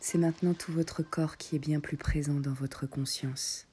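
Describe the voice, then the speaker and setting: A woman guiding a meditation in soft, breathy short phrases with long pauses, often close to a whisper. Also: low-pitched, fairly even intonation, a little hiss or hum. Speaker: yoga nidra meditation guide. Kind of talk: guided meditation.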